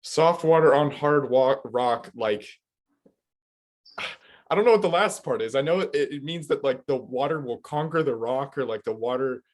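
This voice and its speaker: A young man talking casually and laughing easily, loose and relaxed, with lots of "like" in his speech. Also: mid-range voice, normal speed. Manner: laid-back, laughs often, many likes. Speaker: co-host, English speaker. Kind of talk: casual chat.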